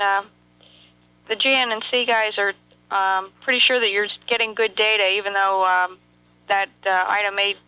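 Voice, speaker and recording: A woman with a bright, forceful voice at medium pitch speaks over two-way radio. She is businesslike and concise, relaying technical messages from mission control. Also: clear, resonant tone, no echo, low-quality recording. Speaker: capsule communicator at mission control. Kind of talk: brief technical radio exchanges.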